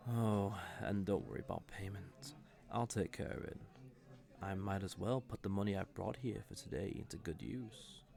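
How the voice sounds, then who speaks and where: A man's voice: on the low side, gravelly. A man playing a cocky, suave fantasy villain who teases and flirts smugly in an audio roleplay. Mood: relaxed, teasing. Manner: smug, playful, unhurried teasing with soft chuckles. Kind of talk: scripted audio roleplay addressed to the listener.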